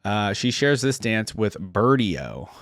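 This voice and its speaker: A man's voice: lowish voice, big pitch swings, slow pace, dry recording, clean studio sound. A man hosting a reaction video, casually commenting and reading lore aloud with informal, chatty asides. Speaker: video host, reaction content creator.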